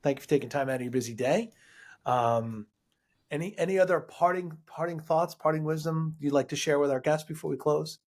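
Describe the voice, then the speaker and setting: A man's voice: a little high, a little fast, a little mellow. A man hosting a podcast interview, casual and affirming with frequent short agreements. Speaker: podcast host.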